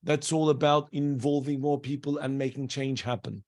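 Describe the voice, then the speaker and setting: A man from Turkey, a campaign worker announcing a project on a video call in quick, slightly broken phrases. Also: medium pitch, little pitch movement, darkish tone.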